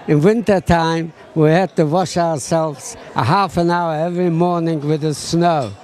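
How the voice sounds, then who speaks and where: A man's voice: fairly high pitch, fairly even intonation, a little slow, a little mellow, a little hiss or hum. A man, giving short, plain answers in an outdoor interview. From Poland. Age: 80s.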